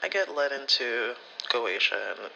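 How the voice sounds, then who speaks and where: A man speaks with a very bright, crisp-edged voice that often drops into creaky fry. His pitch moves around in a lively way as he rambles through casual ASMR stories in slangy, profane language. There is faint noise behind him. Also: measured pace, low-quality recording. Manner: profane, slangy, rambling storyteller who acts out quoted dialogue. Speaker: spiritual content creator.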